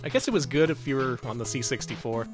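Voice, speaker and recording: A man's voice: mid-range voice, expressive, fast, over music. A man co-hosting a retro game stream, casual and sarcastic, laughing often and swearing. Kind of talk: casual gaming chat.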